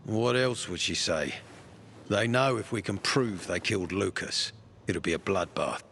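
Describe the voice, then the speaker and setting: A man's voice: low voice, measured pace, a bit bright, slight background noise. A man voicing a stern, threatening male Peacekeeper officer in a video game, delivering cold warnings.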